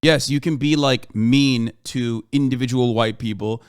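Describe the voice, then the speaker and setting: A man's voice: mid-range voice, fairly even intonation, measured pace, clean tone, no echo, studio quality. A man streaming live, giving blunt, emphatic commentary and lecturing his chat, often checking in with "okay?". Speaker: livestreamer reacting to chat. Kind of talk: heated livestream commentary.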